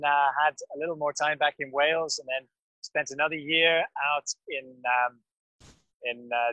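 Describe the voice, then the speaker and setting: Man, pediatric doctor, calm measured talk, bright tense tone, dry sound. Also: mid-range voice, no echo, rough recording. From grew up in West Africa. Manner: calm, measured storytelling with fillers. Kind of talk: podcast interview, recounting experience.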